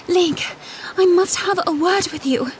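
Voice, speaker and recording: A woman's voice: extremely high pitch, unhurried, a little hiss or hum. A woman playing an earnest, gracious young princess in a fantasy audio drama. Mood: urgent.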